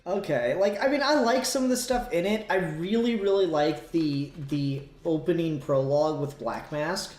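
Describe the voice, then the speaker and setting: A man's voice: fairly high pitch, normal speed, few pauses, darkish tone, echoey room. A man talking casually and informally in a podcast discussion, swearing freely. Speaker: podcast host.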